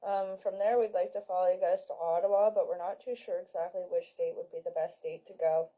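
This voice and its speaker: A woman's voice: lowish voice, steady tone, brisk, slight background noise. A woman calling in to a live chat who asks questions and sounds a bit unsure. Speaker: caller. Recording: lo-fi online voice chat audio.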